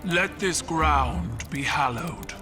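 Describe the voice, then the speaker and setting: A solemn imperial ruler intones vows slowly and deliberately. The voice is pitched high and unhurried, set against a loud game score. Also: male voice, lively intonation, music in the background.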